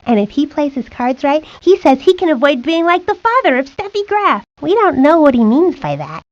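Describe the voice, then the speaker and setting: Casting: sporty, confiding little girl, high and animated, confident, for a kids' game. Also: normal speed, no room sound. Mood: cheeky, confiding. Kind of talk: scripted video game character lines. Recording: dull, lo-fi 1990s game audio.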